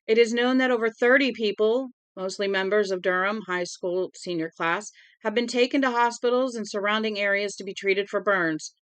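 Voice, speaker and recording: A woman's voice: medium pitch, moderate pace, a bit bright, clean tone, no room sound, lightly acted. A woman reading aloud as a male radio newscaster, delivering a disaster bulletin in a formal, urgent manner.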